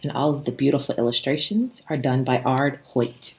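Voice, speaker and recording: A woman reading a children's story aloud in an expressive, emphatic way, pausing for drama between short phrases. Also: extremely low pitch, rough recording. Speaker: storybook reader. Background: background music.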